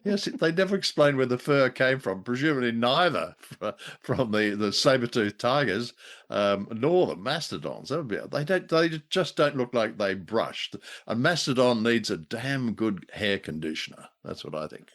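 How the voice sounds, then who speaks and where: A man's voice: mid-range voice, lively intonation, normal speed, a bit bright. A man co-hosting a casual review chat, speaking in a wry, wordy way. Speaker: podcast co-host.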